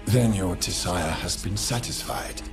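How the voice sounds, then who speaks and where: A man's voice: sits low, unhurried. A man playing a cold, smug grand chancellor and army commander in a video game, speaking formally with condescending menace. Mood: cold disdain. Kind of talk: dramatic video game acting. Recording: echoey, with music behind.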